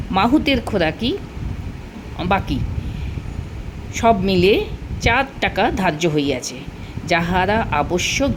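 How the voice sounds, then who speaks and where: A woman reading a literary short story aloud in an expressive storytelling style. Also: medium pitch, background noise.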